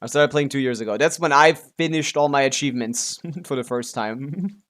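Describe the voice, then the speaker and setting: A man's voice: mid-range voice, normal speed, a bit bright. A male streamer talks casually through his game in an ordinary mid-range voice. He mutters half to himself and half to his viewers, with loose, offhand swearing. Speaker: live streamer. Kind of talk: casual gaming livestream chatter.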